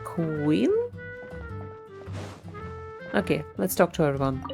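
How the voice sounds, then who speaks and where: A woman's voice: on the low side, expressive, measured pace. A woman streamer giving casual live commentary on a video game, musing aloud. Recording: game music behind the voice. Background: video game music.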